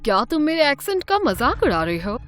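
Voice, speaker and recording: A woman voicing a strict, irritable female math teacher who talks in a stern, sharp way. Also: high-pitched, sing-song, brisk.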